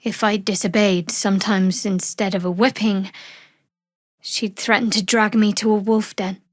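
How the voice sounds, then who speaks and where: A woman playing a guarded, wry young female cleric of a dark goddess in a video game, speaking in a dry, sardonic, measured way. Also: mid-range voice, flat delivery, no echo, close to an everyday voice. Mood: subdued, confiding. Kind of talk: video game character dialogue.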